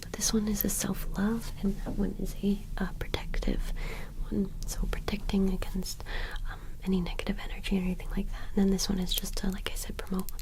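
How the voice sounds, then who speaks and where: A woman's voice: medium pitch, completely flat delivery, brisk, hardly any pauses, poor audio. A woman whispering breathily in an ASMR roleplay, talking a customer through wares in a hesitant, unscripted way. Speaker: ASMR roleplay host as witch shopkeeper.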